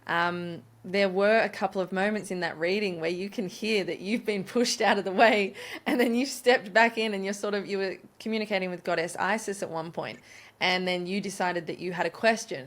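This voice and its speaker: A woman's voice: mid-range voice, a little fast, fairly bright tone. Woman, podcast host, enthusiastic, affirming, casual interview chat. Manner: enthusiastic, affirming, thinks aloud.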